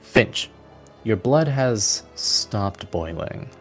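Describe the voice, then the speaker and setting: A man's voice: fairly low pitch, drawn-out words, husky, faint music behind. A man narrating combat as the game master of a tabletop game. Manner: descriptive, steady narration of combat.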